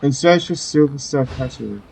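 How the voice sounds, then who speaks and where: A man's voice: medium pitch, lively intonation, normal speed, vocal fry, a little mellow, slight background noise, rough recording. A man giving casual gameplay commentary as he plays through a video game. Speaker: gaming video host playing through.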